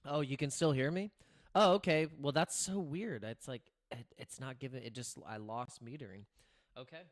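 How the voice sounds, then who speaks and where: Raspy guy hosting a stream, kinda rambly, lots of restarts, streaming mic, normal pitch. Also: moderate pace. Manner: rambling, with many restarts and filler words. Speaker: crypto livestream host. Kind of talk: casual livestream chat. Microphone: streaming microphone through streaming software.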